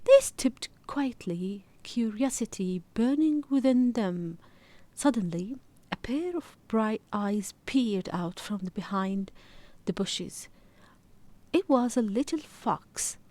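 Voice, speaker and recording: A woman reading a children's story aloud in expressive scripted narration. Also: fairly high pitch, slow.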